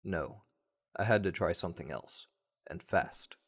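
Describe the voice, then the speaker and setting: A man narrating a first-person story in a measured, wry way, like a radio play. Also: sits low, animated, slightly rough.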